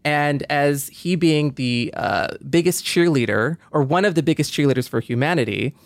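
A man's voice: on the high side, moderate pace, no echo. A man hosting a podcast, speaking in a reflective conversational way with an earnest, optimistic manner and frequent you-knows. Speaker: podcast host.

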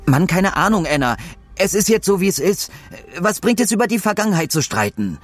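A man's voice: high voice, big pitch swings, a little fast, soft background music. A man playing a defensive, resigned astronaut in an audio drama, matter-of-fact in a crew dispute. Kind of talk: naturalistic audio drama dialogue.

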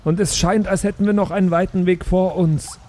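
A man's voice: high voice, steady tone, a little fast, dark tone. A man improvising casually in character as a player in a tabletop role-playing game.

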